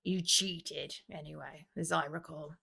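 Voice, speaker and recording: A woman voicing a cunning, predatory male guild champion in an audiobook, slow and smoothly menacing. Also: deep voice, steady tone, bright, forceful tone, pitched below the performer's own voice, full character voice. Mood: sly teasing.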